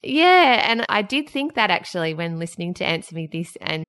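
A woman's voice: big pitch swings, moderate pace, clean tone. Young-sounding woman podcast host. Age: 30s. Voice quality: high-pitched, young-sounding voice. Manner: warm, laughs often, affirming. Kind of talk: casual interview chat.

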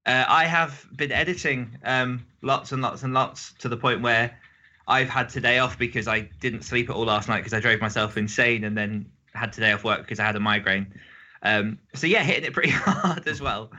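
Bright-voiced man hosting a casual podcast. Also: mid-range voice, normal speed, highs cut off. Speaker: podcast host. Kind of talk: casual chat.

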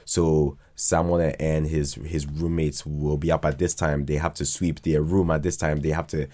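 A man with a deep-set, low voice chats as a guest on a podcast. His delivery is informal and halting, with words often doubled or tripled. Slang slips easily into his explanations. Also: level tone, mellow. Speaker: podcast guest, writer of an audio fiction drama. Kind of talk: casual podcast conversation.

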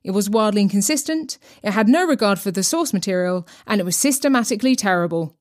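A woman's voice: mid-range voice, expressive, fast, a little mellow. A woman presenting a podcast with scripted, tongue-in-cheek narration.

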